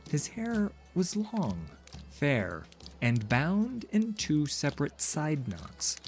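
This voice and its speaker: A man's voice: medium pitch, darkish tone. A man narrating a fantasy story in a dramatic, scripted audiobook style.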